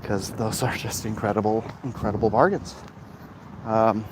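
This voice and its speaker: A man's voice: fairly low pitch, steady tone, moderate pace. A man livestreaming casually to viewers on his phone while walking outdoors, rambling and emphatic. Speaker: livestream host, comic artist. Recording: noisy outdoor walking recording.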